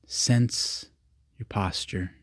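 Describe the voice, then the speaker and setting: A man leading a guided meditation, giving calm, simple, repeated instructions with long pauses between them. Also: lowish voice, no echo, studio quality. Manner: calm, repetitive, unhurried cues with long pauses.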